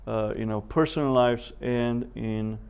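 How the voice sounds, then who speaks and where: A man teaching a Bible seminar as a professor, speaking in a measured way with deliberate pauses, scripture quotations and rhetorical questions. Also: medium pitch, fairly even intonation, creaky, a little mellow, clean tone.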